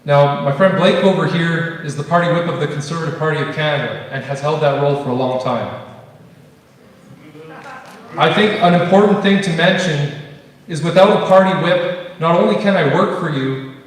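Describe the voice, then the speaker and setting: A man running for office, loud and direct, with a speech impediment. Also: on the high side, moderate pace. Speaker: election candidate. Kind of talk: candidate forum answer. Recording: echoey hall, mic from far off.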